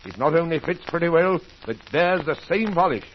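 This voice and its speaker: A man's voice: on the high side, expressive, brisk, a little hiss or hum, close to an everyday voice. Man acting a shrewd doctor sleuth, muffled old radio. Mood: accusing. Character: shrewd doctor sleuth, grave, certain, accusing.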